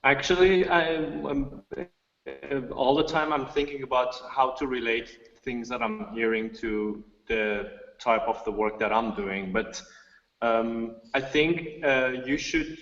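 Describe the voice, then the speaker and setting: A man's voice: mid-range voice, slow, a bit bright. Hesitant Persian-speaking man in an echoey room. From Iran. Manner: hesitant, searching, many restarts. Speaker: seminar participant, artist. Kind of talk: online seminar discussion. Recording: dull echoey room audio.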